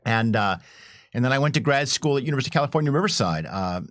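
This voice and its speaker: A middle-aged man reminiscing casually as a panel guest, enthusiastic and colloquial, in halting phrases. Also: mid-range voice, expressive, a bit bright, no echo, clean studio sound.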